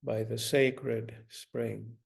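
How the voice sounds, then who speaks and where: A man leading a guided meditation in a gentle, deliberate manner, giving instructions with long pauses. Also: mid-range voice, little pitch movement, vocal fry.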